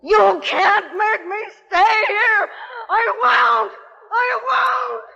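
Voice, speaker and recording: Terrified young wife in old radio suspense, very high bright voice, begging, long echo. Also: female voice, steady tone, very slow, vocal fry, highs cut off, full character voice. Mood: tearful, desperate. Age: young adult. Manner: breathless, desperate pleading. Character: frightened, pleading young wife trapped in her own nightmare. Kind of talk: 1950s radio suspense acting. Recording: echoey reverberant 1950s radio recording.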